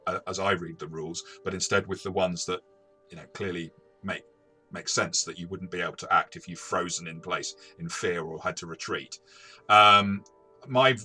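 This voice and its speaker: Man, very deep voice, forceful tone, conversational game review, hesitant self-correcting explainer. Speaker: tabletop game reviewer and game master.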